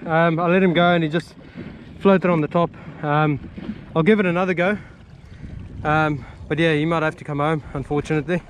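A man's voice: on the high side, fairly even intonation, normal speed, low-quality recording. A young man fishing from a jet ski, commentating live with whoops and exclamations. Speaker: fishing vlogger. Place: open water on a jet ski, outdoors. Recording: windy outdoor camera audio.

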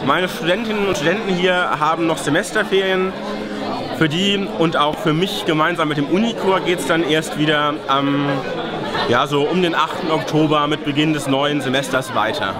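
A man in his thirties leads a choir and talks in an upbeat, chatty way. His voice sits a bit high. Loud crowd chatter fills the hall behind him. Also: moderate pace. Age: 30s. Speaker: choir director. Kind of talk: casual interview. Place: church hall indoors with choir nearby.

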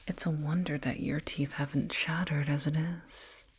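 Casting: woman as alien dentist for ASMR roleplay, low hushed whisper, unhurried, gently patronizing toward a little human patient. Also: fairly even intonation, exaggerated voice. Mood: pitying, curious. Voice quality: breathy whisper. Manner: slow, doting, clinical, gently patronizing. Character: condescending yet soothing alien dentist, fussing over a little human. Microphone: binaural mic, up close.

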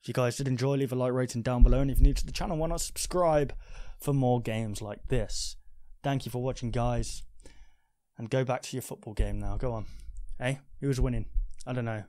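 A man's voice: medium pitch, fairly quick, warm tone, dry recording. A man, reading a game aloud and commenting casually into a close microphone. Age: 20s. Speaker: gaming video host. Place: small bedroom studio. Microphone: close boom-arm studio microphone, headset on. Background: music.

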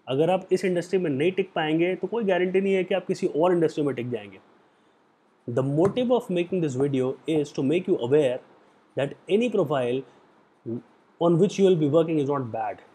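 A young man with an Indian accent giving an earnest motivational talk to the camera on a lapel mic in a small room, lecturing and slowing down for English phrases. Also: fairly high pitch, warm tone, husky. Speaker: hospitality career vlogger. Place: small indoor room, plain wall.

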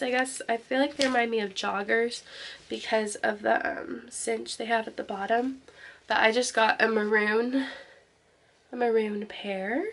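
A woman's voice: fairly high pitch, a bit bright. A young woman in her twenties with a cold and a slightly nasal voice, chatting casually to camera as a vlogger with lots of ums and likes. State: sick, nasal. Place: parked car, then walk-in closet. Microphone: handheld camera close up.

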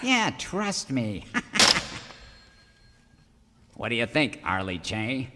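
An actor playing a scheming, bad-tempered outlaw villain in a parody of an old western radio serial, sly and blustering. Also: male voice, medium pitch, very animated, very slow, long reverb tail, heavy background noise. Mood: conspiratorial.

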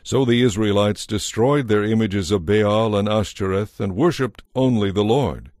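Guy narrating an audio bible, scripted read, lowish voice, super dry studio sound. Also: moderate pace, darkish tone, no room sound.

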